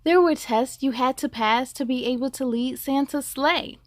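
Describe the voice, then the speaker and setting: High-voiced woman reading stories to children. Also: normal speed, no room sound, studio quality. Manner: animated asides addressed to young listeners. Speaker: host of children's read-aloud story time. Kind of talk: expressive picture-book reading for children.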